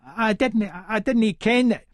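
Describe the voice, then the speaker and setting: A man acts out a scared young Edinburgh crook in an audiobook. His Scottish voice is pitched high and strained upward, pleading and panicky. It is recorded very dry. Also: slow pace, no room sound. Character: frightened young small-time burglar, male, pleading. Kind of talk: acted audiobook dialogue.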